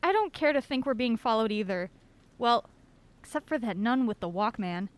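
A woman's voice: on the high side, animated, moderate pace, close to an everyday voice. An actress playing a wry, guarded woman on an anxious road trip, dry and sardonic, in an audio drama. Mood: guarded, nervy.

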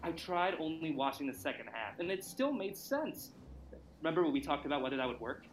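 Man playing a playful, animated mystery partner. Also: fairly high pitch, a little fast, a little hiss or hum, low-quality recording. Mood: teasing. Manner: bantering, punchy. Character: playful, excitable male partner on a mystery hunt. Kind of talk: naturalistic audio drama acting.

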